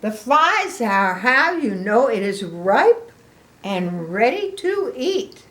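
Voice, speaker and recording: A woman voicing a cheerful, excitable young pig from a storybook, with singsong, drawn-out emphatic words. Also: on the high side, heavily acted. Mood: eager, generous.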